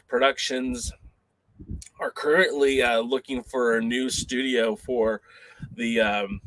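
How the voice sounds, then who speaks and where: A man hosting a laid-back talk show, chatting loosely and filling gaps with ums and uhs. His voice sits at an ordinary middle pitch. The sound is close and dry. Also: a bit bright, dry recording, rough recording. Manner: rambling and conversational, lots of fillers. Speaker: podcast host. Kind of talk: casual chat show.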